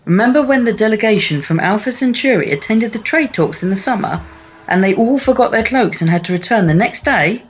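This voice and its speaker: An actor playing a young junior aide, polite, earnest and a little naive, in an audio drama. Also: male voice, high voice, animated, a little fast, slightly rough, low-quality recording. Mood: earnest, nervous. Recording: music behind the voice.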